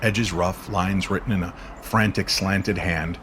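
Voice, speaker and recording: Male narrator for an eerie radio tale: fairly deep, animated, solemn, over background noise. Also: a little slow. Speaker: storyteller narrator. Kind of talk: dramatic scripted narration of a paranormal radio story.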